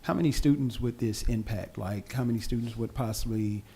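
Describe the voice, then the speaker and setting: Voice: medium pitch, fairly quick, warm tone, breathy edge. A board member asking careful, polite questions at a meeting.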